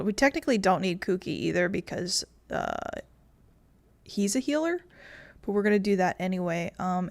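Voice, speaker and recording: Chatty woman streamer. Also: medium pitch. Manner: chatty, swears, talks to herself. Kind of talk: casual gameplay commentary.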